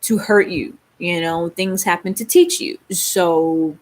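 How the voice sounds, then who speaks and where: A woman's voice: on the low side, slow. A young woman from Baltimore with a Baltimore accent, hosting a casual live stream with rambling, laughing talk and lots of fillers. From Baltimore, Maryland. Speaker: livestream host.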